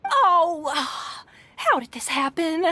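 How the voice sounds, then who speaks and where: An actress voicing a kindly, fussy middle-aged auntie who dotes on the young. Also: female voice, extremely high pitch, very animated, drawn-out words, pushed and bright, a little hiss or hum, exaggerated voice. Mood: doting. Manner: doting, fussy.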